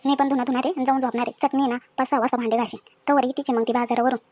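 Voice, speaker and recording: A woman acts out a village daughter-in-law with a very high voice and a rapid tongue. She complains and scolds in a colloquial rural Maharashtrian accent, full of household grievances, as in a family drama story. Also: flat delivery, darkish tone. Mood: bitter grumbling. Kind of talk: acted family story dialogue.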